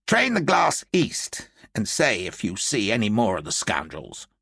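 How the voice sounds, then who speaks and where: A man reading an audiobook, voicing a blunt, irritable middle-aged British general in a gruff, brusque manner. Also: fairly low pitch, huge pitch swings, unhurried, pushed and bright, dry recording, studio quality, heavily acted.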